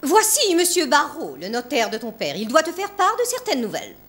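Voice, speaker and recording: A woman's voice: high-pitched, huge pitch swings, a little fast, fairly bright tone, exaggerated voice. A woman playing a cold, bossy, stern schoolmistress in a French cartoon dub. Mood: curt, formal. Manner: stern, commanding. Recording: music playing behind the voice.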